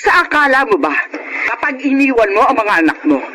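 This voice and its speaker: An indignant older sister, high and forceful with a lively, swinging pitch. She scolds in emphatic radio drama style, stern and reproachful, with faint noise behind. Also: brisk, heavily acted, low-quality recording. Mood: stern, scolding. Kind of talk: Filipino radio drama acting.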